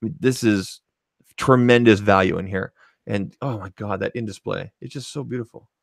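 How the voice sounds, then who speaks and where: Emphatic low-voiced podcast man. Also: normal speed, vocal fry, dry recording, clean studio sound. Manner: emphatic, opinionated, says okay a lot. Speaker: tech podcast co-host. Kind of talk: casual podcast chat. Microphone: studio microphone.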